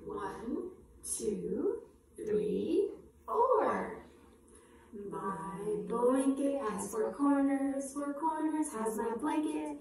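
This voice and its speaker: A woman's voice: sits high, very animated, very slow, vocal fry, a little mellow. A woman presenting a children's storytime, singing and introducing simple action songs with repetitive phrasing meant for young children. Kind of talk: upbeat children's singalong with short spoken introductions. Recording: echoey room, distant mic, faint noise.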